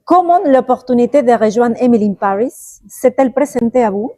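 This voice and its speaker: Woman interviewer, eager little reactions, higher voice, mellow, dry. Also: animated, brisk, no room sound. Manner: enthusiastic, frequent short reactions. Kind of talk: interview questions.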